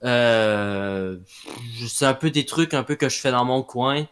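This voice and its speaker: A young man from Quebec with a Québécois French accent, a guest in a casual interview, talking with many pauses and restarts. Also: medium pitch, a bit bright, clean tone. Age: young adult. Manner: hesitant, many fillers and restarts.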